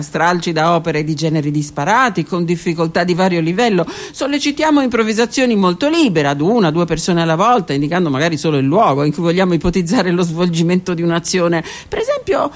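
A woman narrating her own literary text for a radio theatre piece, intimate and often dropping to a whisper. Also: mid-range voice. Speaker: author and narrator.

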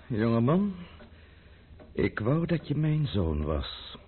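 An actor playing an imperious middle-aged count in a radio play, sardonic and grandiose, with a husky voice that booms when roused. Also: male voice, very animated, slow, slight background noise. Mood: sardonic, haughty. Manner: sardonic, grandiose, swinging from smooth to roaring. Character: imperious, blustering middle-aged count, powerful and quick to thunder. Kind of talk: Dutch radio drama acting. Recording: muffled, band-limited old radio recording.

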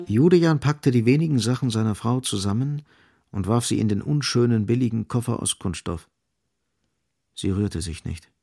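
Low, creaky-voiced male radio play narrator.

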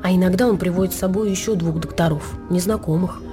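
Woman as a low-voiced, secretive household onlooker. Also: fast, music in the background, close to an everyday voice. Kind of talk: radio drama acting.

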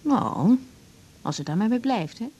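A woman playing a brisk, caring young doctor in a 1980s radio drama, speaking frankly with a slightly husky voice. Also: a little high, expressive, mellow. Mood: gentle.

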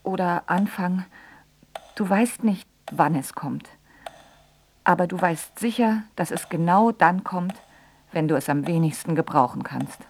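A woman's voice: on the low side, measured pace, a little hiss or hum. An actress playing a bitter, ironic grown daughter who tells of her father in a dramatic radio play monologue. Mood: intense, ironic. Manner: sardonic, dramatic monologue with sudden exclamations. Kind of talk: radio play narration and dialogue.

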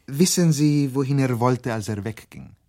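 An actor playing a courteous but insistent Italian police officer in a German radio crime drama, questioning formally in a husky voice. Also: male voice, medium pitch, normal speed, mellow, lightly acted. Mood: probing, insistent.

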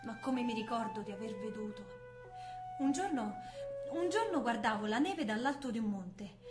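A woman's voice: a little high, animated, normal speed, close to an everyday voice. An actress playing a young blind woman, a dreamy, plaintive exile, in theatrical stage style. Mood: wistful, dreamy. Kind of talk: theatrical stage-play acting. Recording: roomy, with prominent live music.

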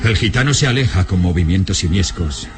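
A man's voice: deep voice, expressive, fairly quick. A man narrating a radio drama in a solemn, ominous, scripted storytelling style. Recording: music under the narration. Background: dramatic music.

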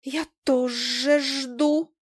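A squeaky, nosy little fly. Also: female voice, fairly even intonation. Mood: gossipy. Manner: squeaky, rapid, gossipy chatter. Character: chattering tiny female fly, nosy and excitable. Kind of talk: audiobook character voice.

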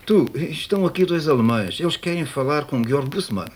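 An actor playing an informal Portuguese prison guard in a naturalistic radio drama. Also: male voice, fairly high pitch, animated, fairly quick, a little mellow, close to an everyday voice. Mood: brisk, matter-of-fact. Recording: faintly noisy cassette copy of radio play.

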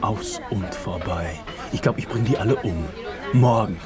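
Frazzled low-voiced male teacher, acted. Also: unhurried, over loud music, a loud crowd, close to an everyday voice. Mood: despairing, furious. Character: frazzled, despairing trainee teacher, darkly furious. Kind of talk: radio play acting.

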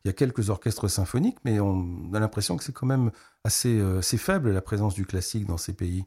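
A man's voice: lowish voice, fast talker, darkish tone, husky. A man hosting a radio interview, chatting with frequent fillers and murmured agreement. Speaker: radio host.

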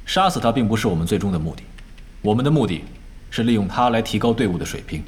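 An actor playing a composed, authoritative esports team captain in an audio drama, speaking in a measured, serious manner. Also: male voice, on the low side, animated, close to an everyday voice. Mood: calm, serious. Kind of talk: Chinese audio drama acting.

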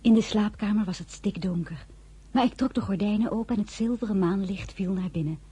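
An actress playing a tender young newlywed wife who tells her own story in a radio drama, with a husky, breathy voice. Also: medium pitch, fairly quick, dark tone, close to an everyday voice. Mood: calm narrating. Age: young adult. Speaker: narrator and lead character. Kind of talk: radio drama with first-person narration.

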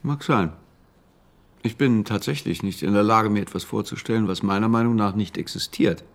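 Gravelly voice, stoic self-assured sceptic, measured, dry delivery, radio drama. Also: male voice, lowish voice.

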